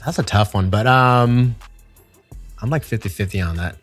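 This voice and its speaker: A man's voice: on the low side, moderate pace, soft background music. A man with a Thai accent, a DJ co-hosting a podcast, talking loosely and casually and swearing freely. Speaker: DJ and podcast co-host.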